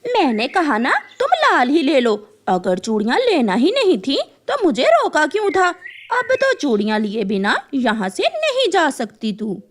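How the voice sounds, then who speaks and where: A woman's voice: high-pitched, wildly swooping pitch, a little slow, heavily acted. A woman voicing a rude, sulky young female bird with a quarrelsome, whiny manner in a cartoon. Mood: bossy angry.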